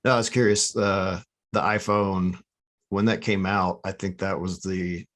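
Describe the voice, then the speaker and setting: A man in his thirties, seated at a studio microphone in his home office, hosts an interview for his photography channel. His voice sits on the low side, and he talks in a relaxed, friendly manner. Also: a little slow. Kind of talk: interview host, casual chat. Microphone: studio mic in front of the speaker.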